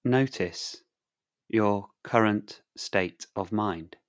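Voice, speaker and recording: Slow, low-pitched male meditation guide. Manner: calm, soothing, measured delivery with long pauses.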